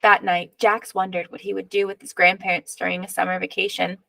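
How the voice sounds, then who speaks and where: Female picture book narrator: expressive, measured read-aloud, some vocal fry, dry recording. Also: mid-range voice, no room sound.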